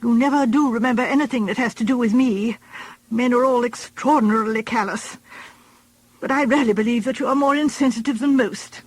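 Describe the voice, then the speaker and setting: A woman voicing a fretful, self-pitying invalid wife with a husky, complaining voice. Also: a little fast, no echo, poor audio. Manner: shrill, complaining, dramatic.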